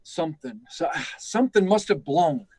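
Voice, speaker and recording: An actor playing an aging, anxious, guilt-ridden test-flight chief in a radio drama, gruff, halting and urgent, often repeating himself. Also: male voice, fairly high pitch, expressive, mellow, no room sound. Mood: weary, guilty. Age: older. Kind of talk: live radio drama acting.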